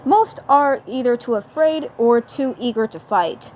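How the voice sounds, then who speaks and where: Woman doing a wry male alien commander, teasing, slow talk, light higher voice. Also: expressive, a little mellow, a little hiss or hum.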